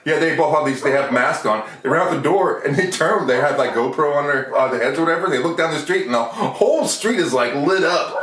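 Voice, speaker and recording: Lively American man, roomy distant sound. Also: on the high side. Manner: jokey, rambling, halting restarts. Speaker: podcast host. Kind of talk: casual beer review chat. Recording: roomy, distant mic, faint noise.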